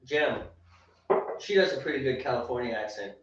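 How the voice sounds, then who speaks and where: A man's voice: mid-range voice, moderate pace. A man with an American accent in casual, teasing banter as a home brewer co-hosting a brew day. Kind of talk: casual chat. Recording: very echoey, distant, dull lo-fi sound.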